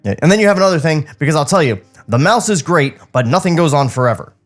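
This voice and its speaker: A man's voice: a little high, sing-song, fast, voice pushed up. A man plays a middle-aged king of a Hollywood lot in a comedy script read. He is brusque and chatty, steamrolling ahead in a raised voice. Music sits behind him. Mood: bossy, hurried. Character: brusque, fast-talking 48-year-old male Hollywood studio boss.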